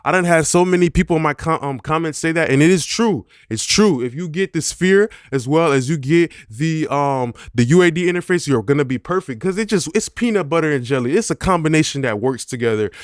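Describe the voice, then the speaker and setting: A man's voice: on the high side, lively intonation, fairly quick, hardly any pauses, no room sound. A man, a music producer, reviewing studio gear in a loose, conversational way, full of fillers and slangy grammar. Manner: loose, conversational, many "you know" fillers. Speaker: music producer and mixing engineer. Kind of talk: casual gear review talk to camera.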